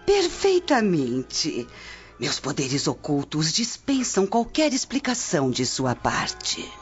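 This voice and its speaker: An ancient Brazilian fortune-teller in a radio drama, servile and full of mystery. The voice is very low and unhurried, with theatrical swoops, and her words are formal and deferential. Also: huge pitch swings, faint music behind, exaggerated voice. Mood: servile, mysterious. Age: older. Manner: slow, obsequious, formal. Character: very old hunched fortune-telling witch, servile and mysterious. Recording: dull lo-fi radio drama audio.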